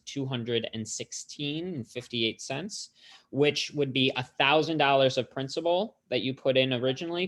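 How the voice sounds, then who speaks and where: A man's voice: medium pitch, a bit bright. A man from an investment platform walking viewers through how to buy small business bonds, explaining steadily with frequent "um"s. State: slightly losing his voice, clearing throat. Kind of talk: explanatory walkthrough.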